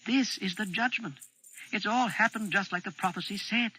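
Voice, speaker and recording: A man voicing a scheming, eager boy changeling in a high, pushy, excitable voice. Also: normal speed, breathy edge, exaggerated voice, rough recording.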